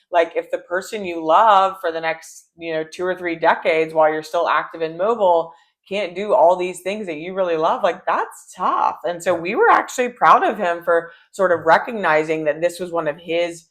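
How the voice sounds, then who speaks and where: A woman with a low voice for a woman, co-hosting a casual chat and talking freely with many fillers. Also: a bit bright. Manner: chatty, frequent "like" and "you know". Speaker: co-host.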